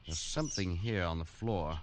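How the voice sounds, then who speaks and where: A man acting as a no-nonsense companion, calm, blunt and dryly humorous, in a low voice. The old radio broadcast carries a clear layer of hiss and noise. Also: lightly acted. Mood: wary, blunt. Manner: brisk, level-headed, reassuring. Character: steady, gruff adult male companion, dry-humored. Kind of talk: 1940s radio drama acting. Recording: noisy vintage radio broadcast.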